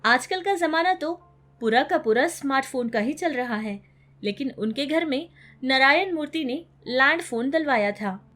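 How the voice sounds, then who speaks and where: Casting: female storyteller, high voice, scripted children's moral-tale narration, light music bed. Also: animated, measured pace, a bit bright.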